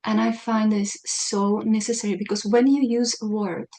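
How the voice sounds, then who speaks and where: A woman hosting a conversation, talking in an animated way and searching for the right words as she thinks aloud. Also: medium pitch, moderate pace, poor audio. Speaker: podcast host. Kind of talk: casual conversation.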